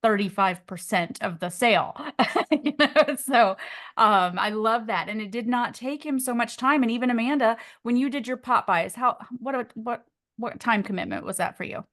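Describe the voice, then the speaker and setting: A woman's voice: a little high, moderate pace, a bit bright. Upbeat woman coach leading a call. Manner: upbeat, encouraging, affirming. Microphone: video call.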